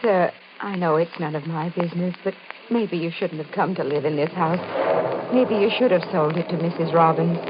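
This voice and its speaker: An actress playing a respectful, concerned house servant in an old-time radio horror play, speaking earnestly. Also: female voice, fairly low pitch, moderate pace, natural voice. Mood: concerned, deferential. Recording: noisy, muffled old radio recording.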